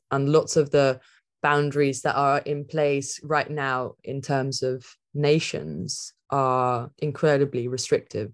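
A woman speaking as a podcast guest, thinking aloud in a rambling, reflective way, with many "like" fillers and frequent laughter. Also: very deep voice. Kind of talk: reflective conversational interview.

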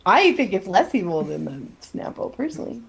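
A woman's voice: medium pitch, very animated, a little fast, gravelly. A woman in casual conversation as a co-host of a TV recap podcast.

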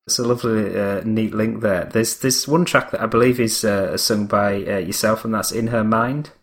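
A man's voice: on the low side, fairly even intonation, normal speed. A man hosting a podcast interview, enthusiastic and rambling with fillers. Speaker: podcast host. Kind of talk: interview host.

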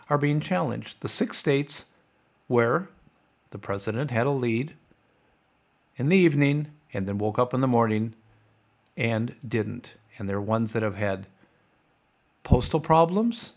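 A middle-aged man hosting a podcast as a sarcastic, opinionated casual monologue, congested and losing his voice. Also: medium pitch. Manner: sarcastic, mock-chanting opponents. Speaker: podcast host.

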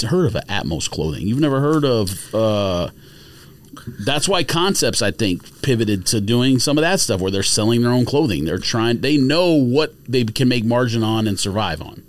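Animated man arguing on a podcast. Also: medium pitch, moderate pace, no room sound. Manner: animated, argumentative, explains at length. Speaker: podcast co-host. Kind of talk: casual podcast chat.

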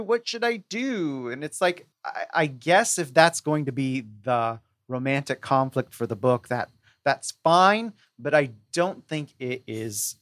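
A man as a podcast guest, discussing a book critically in a measured, thoughtful, conversational way. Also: on the high side, fairly bright tone, no room sound, studio quality.

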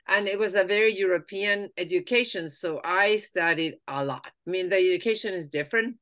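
A talkative woman in her fifties, originally from Argentina, speaks English. Her voice sits a little low for a woman, yet it comes across bright and forceful. She tells her life story in a loose, animated way, full of fillers and restarts. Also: fairly quick. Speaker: guest. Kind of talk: conversational life-story interview.

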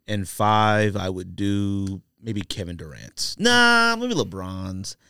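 Lively man chatting about with slangy opinions. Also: fairly low pitch, slow, clean tone, dry recording, clean studio sound. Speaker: podcast host. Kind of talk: casual sneaker and basketball chat.

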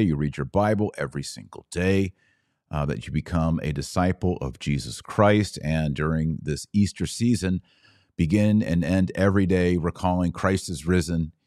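Male host, very low register, dry close-mic sound, punchy live-chat commentary. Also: normal speed, darkish tone, no room sound. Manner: emphatic, punchy lists of short phrases. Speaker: podcast host.

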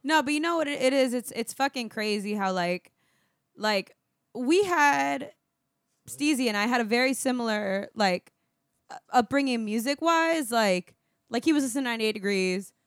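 A woman's voice: fairly high pitch, animated, normal speed, no echo, clean studio sound. A woman born in Puerto Rico hosting a casual podcast chat, talking animatedly with plenty of swearing and laughter. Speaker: podcast host. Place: small studio.

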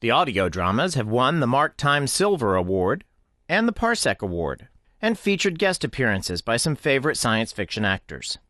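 Lively-pitched man narrating, very dry sound. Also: normal speed, no room sound. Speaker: series creator. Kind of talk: scripted promo narration.

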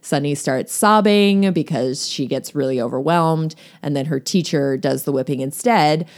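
A woman's voice: sits low, moderate pace. A woman discussing a novel in a casual podcast chat, talking with many fillers and easy laughs. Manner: chatty, lots of fillers and laughs.

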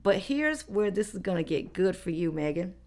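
A woman's voice: fairly low pitch, big pitch swings, moderate pace, darkish tone. A woman hosting a casual podcast, reading out facts in a chatty, conversational way. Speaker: podcast host. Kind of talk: casual chat.

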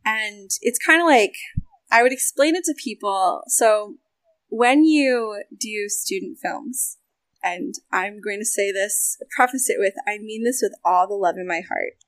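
A young American woman in a casual interview, talking animatedly about voice acting and game development, with frequent laughs and quick asides. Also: mid-range voice, dry recording, rough recording.

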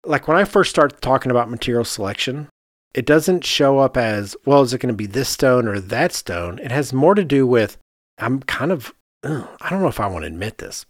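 A man's voice: mid-range voice, dry recording. Man thinking aloud on a casual podcast, dry sound. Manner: thinks aloud, acts out his own reasoning in quoted asides. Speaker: podcast host; architect.

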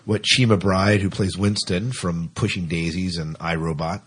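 A man hosts a casual podcast in a fairly low, husky voice. He talks conversationally, often doubling back on words as he searches for the next one. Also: fairly even intonation, measured pace, a bit bright. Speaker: podcast host; teacher. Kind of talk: casual co-hosted podcast chat.

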